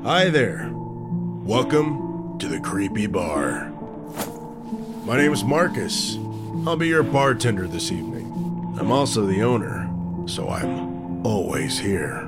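A man's voice: lowish voice, sing-song, slow pace, long pauses, over loud music. A man playing a friendly, eerie bartender who welcomes listeners theatrically as host of a horror-comedy radio play. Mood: welcoming, sly. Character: friendly, eerie male tavern owner and bartender.

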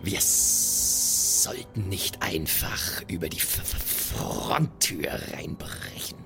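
A menacing adventurer for an improvised audio drama. The voice is very low and swings in pitch, a breathy growl that drags out each halting order with contempt. Music plays clearly behind it. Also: male voice, expressive, full character voice. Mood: guarded, commanding. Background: clear background music.

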